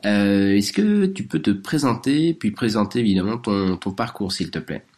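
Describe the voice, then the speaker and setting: A man's voice: lowish voice, animated, normal speed, darkish tone. A man hosting a conversational podcast interview, forming his questions hesitantly with repeated words. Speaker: podcast host.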